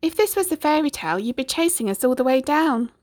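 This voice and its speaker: A woman voicing an eager, curious young boy in a storybook with a raised, bright voice. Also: sits high, lively intonation, fast, no echo, studio quality, pitched above the performer's own voice.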